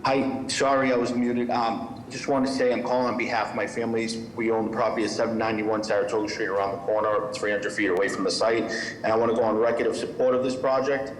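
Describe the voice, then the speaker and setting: A man's voice: lowish voice, steady tone, fast talker, slight background noise. A man giving plain, emphatic public comment at a hearing. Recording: echoey call audio.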